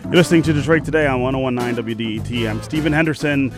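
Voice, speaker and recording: A man hosting a radio interview in an informal, lightly teasing and halting way. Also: medium pitch, over loud music. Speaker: radio host. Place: radio studio.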